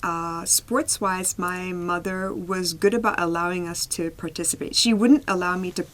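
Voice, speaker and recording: A woman's voice: lowish voice, fairly even intonation, moderate pace, fairly bright tone. A woman in her forties chatting casually as an interview guest about her own life, with a chatty, self-deprecating way of talking. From Singapore, UK, Australia, Indonesia and the US. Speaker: podcast guest, emotional intelligence therapist. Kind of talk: casual interview chat, telling life story.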